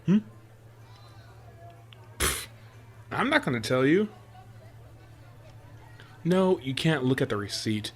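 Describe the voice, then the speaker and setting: A man's voice: mid-range voice, big pitch swings, slow, long pauses, breathy edge, heavily acted. A man playing an affectionate, playful boyfriend in a roleplay audio drama, talking in a teasing, coaxing way. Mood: insistent, teasing. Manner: teasing, chuckles often, coaxing. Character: affectionate playful boyfriend spoiling his sweetheart with surprises.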